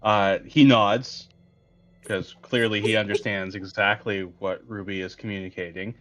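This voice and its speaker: Deep-voiced man playing a tabletop game. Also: steady tone, measured pace, a bit bright. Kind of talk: casual game-table chat. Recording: muffled audio over background music. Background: music.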